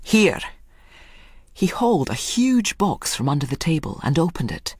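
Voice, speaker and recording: A woman with a husky, low voice narrates literary fiction as a storyteller. Her delivery is unhurried and descriptive, recorded close and dry. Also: big pitch swings, darkish tone, no echo.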